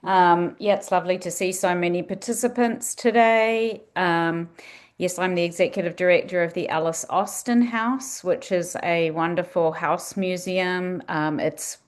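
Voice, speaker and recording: A woman's voice: fairly low pitch, steady tone, unhurried. A woman from New Zealand with a New Zealand accent, presenting as a museum director in a friendly manner with frequent ums, over a video call. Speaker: museum director giving a talk.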